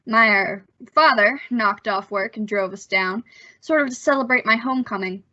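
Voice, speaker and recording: A woman's voice: a little high, moderate pace, bright, forceful tone. A woman playing a nervous, evasive young man with a hesitant manner in an old-time radio suspense drama. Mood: recounting. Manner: hesitant, stammering under pressure. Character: nervous young man, evasive impostor telling his story. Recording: dull, lo-fi audio.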